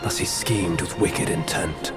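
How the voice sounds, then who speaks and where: A solemn Buddhist holy figure in a video game. His voice is deep and slow, measured and formal as he tells his tale. Music runs clearly behind him. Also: steady tone. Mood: grave. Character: solemn male Buddhist bodhisattva, stately and grave. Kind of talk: scripted game dialogue, expository.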